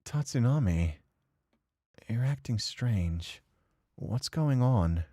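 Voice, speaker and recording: A man's voice: medium pitch, lively intonation, takes it slowly, dark tone, breathy edge, full character voice. A man voicing a visual novel character, a reserved young man who is anxious, suspicious and hesitant. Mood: worried.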